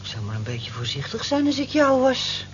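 A woman's voice: a little high, wildly swooping pitch, normal speed. An actress playing a nosy, teasing married cleaning woman on the night shift in a Dutch radio drama. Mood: nosy, cautioning. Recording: dull lo-fi old radio recording, faint noise.

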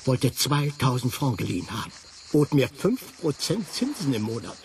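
An actor playing a self-important, insinuating French hotel guest in a German radio play. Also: male voice, mid-range voice, big pitch swings, normal speed, gravelly, far from the mic, a little hiss or hum. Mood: indignant gossip.

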